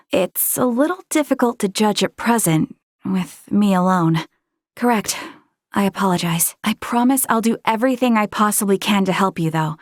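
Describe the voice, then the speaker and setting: A woman's voice: medium pitch, dry recording, clean studio sound. An actress playing an earnest, polite and conscientious young female Federation officer in a video game. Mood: flustered, reassuring. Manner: polite, conscientious, sometimes hesitant.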